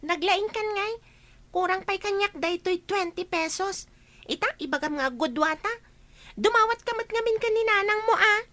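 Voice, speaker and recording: A grasping woman scolds and demands money in a Filipino radio drama. Her voice is extremely high, with a sharp, piercing edge. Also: normal speed, no echo. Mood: scolding, dissatisfied.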